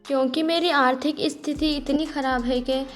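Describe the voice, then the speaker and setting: A woman's voice: high voice, steady tone, normal speed, faint music behind, lightly acted. A woman voicing a poor, long-suffering village mother-in-law in a cartoon moral story, plaintive and motherly. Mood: apologetic.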